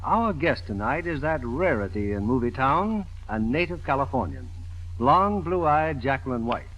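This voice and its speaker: Casting: American male host, forties radio, highly animated intonation, dull old recording. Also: normal speed. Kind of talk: scripted 1940s radio presenting.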